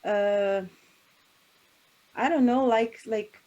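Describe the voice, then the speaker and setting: A woman's voice: a little high, drawn-out words, dark tone, highs cut off. Woman musing thoughtfully. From Brazil. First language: Portuguese. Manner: thoughtful, searching for words with frequent restarts and laughs. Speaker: singer. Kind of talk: interview conversation.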